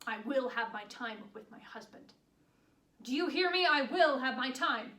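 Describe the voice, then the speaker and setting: A woman's voice: on the high side, measured pace, a bit bright. A woman performing a theatrical period monologue as a devoted, proud country wife, with formal old-fashioned diction. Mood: indignant, furious. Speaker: stage actress performing monologues. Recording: echoey room, distant mic, background noise.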